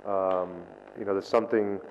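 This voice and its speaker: Hesitant flat-voiced man, many fillers. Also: low voice, normal speed. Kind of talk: council meeting report. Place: council chamber. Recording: dull reverberant council chamber audio with faint noise.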